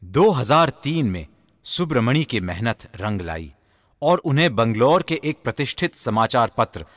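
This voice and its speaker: Animated male narrator, dry studio sound. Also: mid-range voice, measured pace, no room sound. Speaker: profile narrator. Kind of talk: scripted biographical radio narration.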